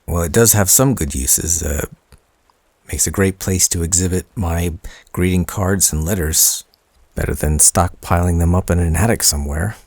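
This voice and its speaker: A man playing a shy, sentimental young man in an intimate boyfriend audio roleplay, speaking hesitantly and teasingly with a creaky voice. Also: deep voice, moderate pace, dry recording. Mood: bashful, intimate. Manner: hesitant, soft-spoken teasing, stammering.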